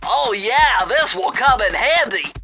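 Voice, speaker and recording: Very high-voiced nerdy turtle brainiac. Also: male voice, animated, slow, over loud music, heavily acted. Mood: pleased. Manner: precise, bookish, instructive. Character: brainy, nerdy young turtle gadget expert.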